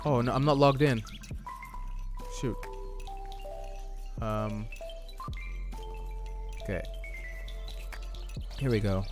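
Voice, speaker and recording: Young male streamer, mid-pitched, casual chat-reading, slightly tired voice, light music bed. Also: extremely slow, pauses often. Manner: chatty, reads chat aloud and answers it, loose restarts. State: losing his voice.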